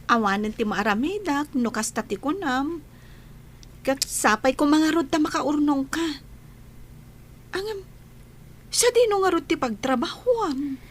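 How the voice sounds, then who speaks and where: An actress playing a loyal young school friend in a radio drama, sympathetic and questioning. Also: female voice, high voice, animated, measured pace, pauses often. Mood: concerned. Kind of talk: Ilocano radio drama acting.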